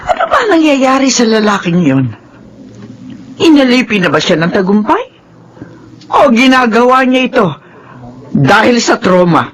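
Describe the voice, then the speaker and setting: Husky, animated old grandmother. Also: a little high, normal speed, long pauses. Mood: indignant, grave. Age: older. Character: concerned, talkative old grandmother. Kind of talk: Filipino radio drama acting.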